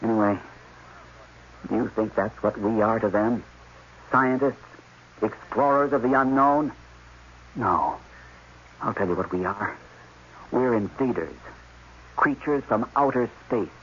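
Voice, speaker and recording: An agitated scientist prisoner, deepish voice, bitter and emphatic, old radio drama, muffled with faint noise. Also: male voice, a little slow, long pauses, fairly bright tone. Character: embittered male scientist held captive, agitated, fatalistic. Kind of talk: 1980s radio drama acting. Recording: dull, noisy old radio broadcast.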